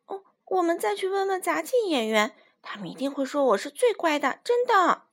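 A woman voicing a mischievous little circus girl who pleads in a high, bouncy voice. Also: sing-song, normal speed, a bit bright, exaggerated voice. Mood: pleading.

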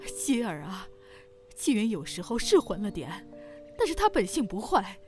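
A woman's voice: mid-range voice, wildly swooping pitch, moderate pace, a little mellow, faint music behind. Fretful, kindly older mother-in-law played by a woman. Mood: pleading, regretful. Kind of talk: Chinese period audio drama acting.

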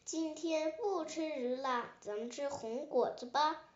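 A woman voicing a big, hungry male tyrannosaurus in a storybook, blustering and halting, gruff but softening. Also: high voice, highs cut off. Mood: pleased.

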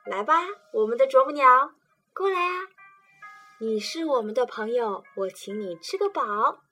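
A woman's voice: a little high, lively intonation, faint music behind. A woman voicing kind children who invite hungry birds in to eat. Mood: welcoming. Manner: warm, brisk and inviting.

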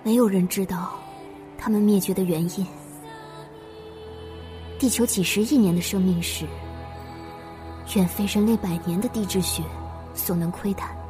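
A pensive female traveller voiced by an actress shares a radio drama monologue. She speaks gently at a medium pitch, in measured phrases with pauses. Music is clearly heard underneath. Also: lightly acted. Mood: quiet, contemplative.

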